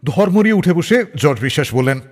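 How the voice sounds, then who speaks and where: A man hosting a radio show, telling anecdotes in a dramatic, expressive scripted style. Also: fairly high pitch, fast. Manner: expressive, acts out quoted dialogue. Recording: long reverb on the voice.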